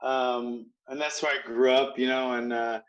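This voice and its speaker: A man's voice: mid-range voice, little pitch movement, a bit bright, dull audio. Rambling man in his sixties telling stories. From Hawaii. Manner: rambling, halting with many restarts and fillers. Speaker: guest martial arts trainer. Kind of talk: casual storytelling.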